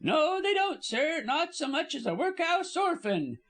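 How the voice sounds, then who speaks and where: A man's voice: very high voice, big pitch swings, unhurried, a bit bright, voice pushed up, full character voice. A man voicing an indignant, gossipy older Cockney servant woman, wordy and emphatic, dropping aitches, in an audiobook story. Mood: knowing, gossipy.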